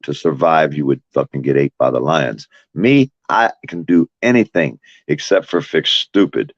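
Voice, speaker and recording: A man's voice: rumbling low, moderate pace, no room sound. A man from Florida, hosting a livestream and talking bluntly and profanely to his chat. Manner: blunt, cursing, combative. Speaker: livestream host. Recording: dry, dull lo-fi stream audio.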